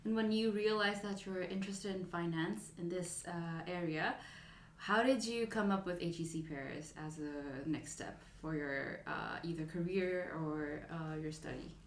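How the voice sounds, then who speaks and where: A woman's voice: lowish voice, moderate pace, echoey room, slight background noise. A woman in her twenties co-hosting a student podcast, chatty and upbeat. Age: 20s. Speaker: podcast co-host. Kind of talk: podcast intro and interview.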